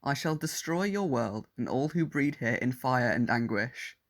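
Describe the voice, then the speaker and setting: Casting: male actor as menacing alien warrior machine in audio drama, mid-pitched, cold, clipped and clinical. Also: expressive, normal speed, fairly bright tone. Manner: formal, clipped, clinical speech. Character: cold menacing alien war android posing as teenage boy.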